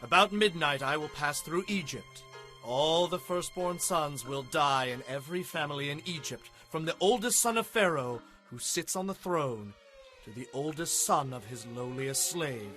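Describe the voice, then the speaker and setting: An actor playing a resolute, righteous prophet in a dramatized Bible reading, speaking with solemn, defiant declamation. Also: male voice, fairly high pitch, lively intonation, moderate pace, pushed and bright, soft background music. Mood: stern warning. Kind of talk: dramatized Bible audio acting.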